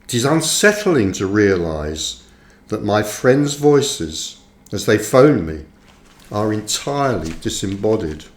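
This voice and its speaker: A man narrates a book in an echoey, roomy space. His delivery is slow and deliberate, broken into short measured phrases with frequent pauses. His pitch rises and falls in a lively, animated way as he reads. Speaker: author reading own book. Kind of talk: audiobook-style scripted narration.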